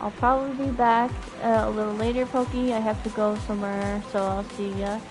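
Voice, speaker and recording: High-pitched woman streamer over game music. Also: a little fast. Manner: giggly, animated reactions, stumbles when reading aloud. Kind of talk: livestream chat while reading game dialogue aloud. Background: video game music.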